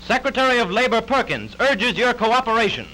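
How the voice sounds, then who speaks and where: A man's voice: very high-pitched, very animated, a little fast, a little hiss or hum, rough recording. A man narrating a 1930s newsreel in an emphatic, declamatory style, on a vintage soundtrack. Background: loud newsreel music.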